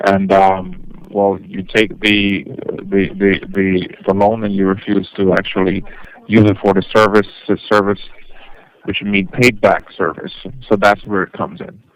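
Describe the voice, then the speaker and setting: A man acting as a debt collection manager on the phone, explaining formally in a halting, wordy way. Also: deep voice, little pitch movement, a little hiss or hum, rough recording. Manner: halting, wordy, repeats himself. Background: music.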